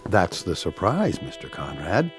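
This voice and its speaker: An actor playing a courteous but evasive male alien host in a radio drama, formal and measured. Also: low voice, huge pitch swings, faint music behind, full character voice. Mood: suave, evasive.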